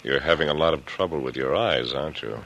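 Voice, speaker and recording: An actor playing a smug, calculating middle-aged killer in an old American radio drama, speaking in a taunting, deliberate, condescending way. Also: male voice, rumbling low, creaky, a bit bright, heavily acted. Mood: gloating menace. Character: coolly menacing older killer, smug, patronizing and calculating. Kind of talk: 1940s radio drama acting. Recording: noisy vintage radio broadcast recording.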